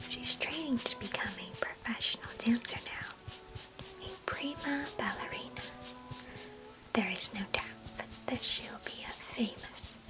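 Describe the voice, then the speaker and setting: This is a woman acting as a chatty, affectionate female cousin in an ASMR roleplay. She speaks entirely in a breathy whisper. Her delivery is gentle and unhurried, with a nostalgic, fond temper. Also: fairly high pitch, lightly acted, poor audio.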